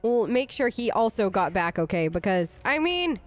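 A woman's voice: mid-range voice, big pitch swings, moderate pace. A woman doing casual in-character commentary as she role-plays through a video game, chatty and reassuring, thinking aloud. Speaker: gaming host, role-play let's player. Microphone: close microphone, dry voice-over.

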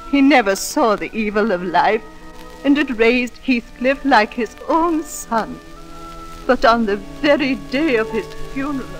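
A female housekeeper, narrating in 1940s radio style. Her voice sits high. She tells a sombre story of the family she serves, over faint music. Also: animated, unhurried, low-quality recording. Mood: sombre storytelling.

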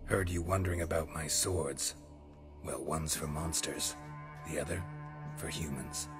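A man voicing a gruff, world-weary male monster hunter in a video game, with terse, dry, deadpan delivery. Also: very deep voice, measured pace. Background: game music.

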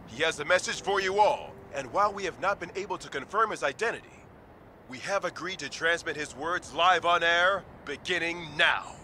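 An actor playing a brisk, formal radio news announcer reading a bulletin in a video game. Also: male voice, fairly high pitch, bright, forceful tone, a little hiss or hum. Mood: urgent, formal.

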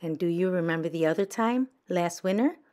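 A woman's voice: lowish voice, brisk, mellow, close to an everyday voice. A woman voicing a mother badger in a picture book, questioning and admonishing. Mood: reminding. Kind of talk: children's picture book read-aloud.

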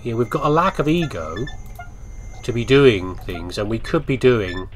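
A man's voice: mid-range voice, sing-song, unhurried, a little hiss or hum. A man giving casual gameplay commentary, rambling and thinking aloud as he talks to himself. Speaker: gamer commentating on playthrough.

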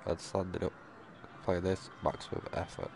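A man's voice: low voice, level tone, normal speed, gravelly, background noise. A man casually muttering to himself with mild swearing while live-streaming a game.

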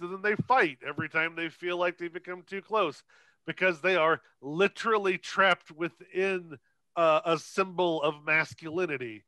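Guy on a film podcast, higher bright voice, sweary and irreverent, casual chat. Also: clean tone, no echo. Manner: profane, irreverent. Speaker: podcast co-host. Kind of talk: casual, unscripted film discussion.

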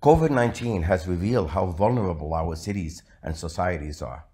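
Low-voiced man lecturing. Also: animated, warm tone. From Venezuela. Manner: measured, with frequent "uh" fillers. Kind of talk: recorded slide lecture.

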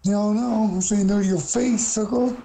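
Mellow-voiced man teasing a friend. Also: sits high, fairly even intonation, moderate pace, creaky, a little hiss or hum. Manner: teasing, crude banter. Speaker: streamer's friend. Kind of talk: casual gaming chat.

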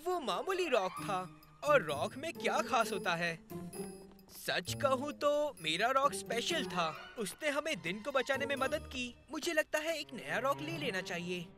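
A woman's voice: a little high, sing-song, a little slow. A woman voicing a plucky, earnest little boy lizard superhero in a children's cartoon dub, with a childlike manner. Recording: music under the voice.

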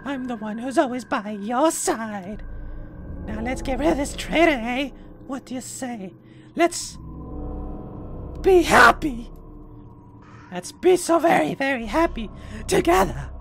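A let's player plays a creepy game doll with a possessive streak. She coaxes and threatens in a high voice, drawing words out and sliding across her range. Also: expressive, gravelly, noisy background, full character voice. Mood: coaxing menace. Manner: coaxing, menacing, drawn-out.

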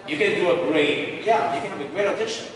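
A man's voice: sits high, quick pace, crowd noise. A young man, an actor guest on a podcast, chatting casually about auditions in a studio, talking loosely with lots of fillers. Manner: relaxed storytelling with many fillers. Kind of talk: casual interview chat. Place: podcast studio with graffiti wall. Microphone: headset mic seen, far camera. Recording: echoey room, distant, noisy.

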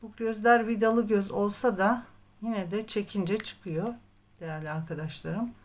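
Woman, unhurried pace, average pitch, patient tutorial narration, methodical. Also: fairly even intonation, a little hiss or hum. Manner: patient, methodical instruction, counting aloud. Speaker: craft tutorial host. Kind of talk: step-by-step crochet tutorial narration.

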